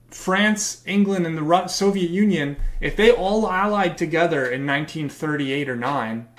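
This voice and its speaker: A man streaming live and reacting to a video, ranting hard and swearing as he argues, and his voice is going hoarse. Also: fairly high pitch. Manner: combative, swearing, emphatic rants. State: losing his voice. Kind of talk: heated live reaction commentary.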